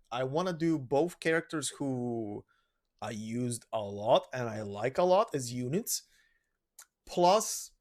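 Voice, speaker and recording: A man with a medium-pitched voice narrates a gaming video in an informal, conversational style. He shifts between loose commentary and read-out game lore. Faint music plays behind him. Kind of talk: casual commentary, reading game lore aloud. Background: background music.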